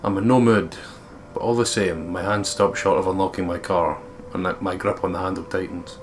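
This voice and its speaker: A Scottish man with a fairly low voice co-hosts a let's play, reading narration aloud and commenting casually. There is slight noise behind him. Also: fairly even intonation, moderate pace.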